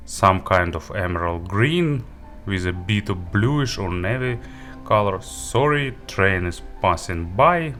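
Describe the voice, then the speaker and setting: A man giving a casual gadget review and unboxing, halting and hesitant as he thinks aloud. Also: deep voice, a bit bright. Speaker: audio gear reviewer.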